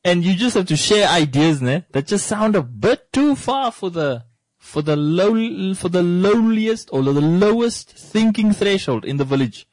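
A man hosting a relaxed studio talk show, his voice sitting in a deep bass register. He explains at length and climbs higher when he presses a point. The sound is dry and close, with almost no room in it. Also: a little slow, no room sound, low-quality recording. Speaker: radio show host. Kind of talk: casual studio discussion. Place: broadcast studio.

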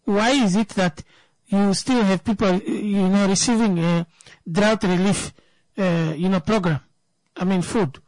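A woman's voice: mid-range voice, fairly even intonation, a little slow, darkish tone, no room sound, rough recording. A woman, giving an emphatic opinion talk that turns into a personal story, repeating words for stress.

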